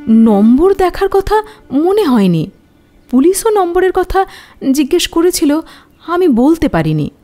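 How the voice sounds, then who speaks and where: A woman acting a troubled wife giving halting witness testimony in an audio detective drama, searching her memory as she speaks. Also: extremely high pitch, sing-song, mellow, faint music behind, heavily acted. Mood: subdued recollection. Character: troubled wife of a missing man, hesitant witness.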